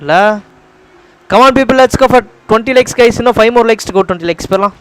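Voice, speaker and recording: Very fast, high-voiced Tamil man streaming. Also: smooth, no room sound, low-quality recording. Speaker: game livestreamer. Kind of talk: casual live game commentary.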